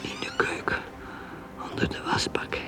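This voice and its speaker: An actress playing a troubled woman drinker in a radio drama, thinking aloud in halting, intense, breathy whispers. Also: close to an everyday voice. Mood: desperate craving. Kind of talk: radio drama interior monologue.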